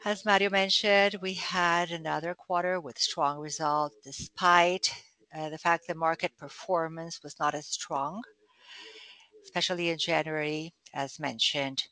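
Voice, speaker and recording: Hoarse man, finance chief, earnings call, reading figures, dry sound. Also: a little high, measured pace, no room sound, poor audio. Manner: steady reading of figures with fillers. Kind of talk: formal earnings presentation.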